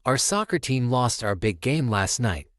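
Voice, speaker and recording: A man's voice: mid-range voice, big pitch swings, normal speed, dry recording, clean studio sound, close to an everyday voice. A man voicing a kindly, slightly downcast father cat in a children's storybook, mild and reassuring. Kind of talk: children's storybook read-aloud.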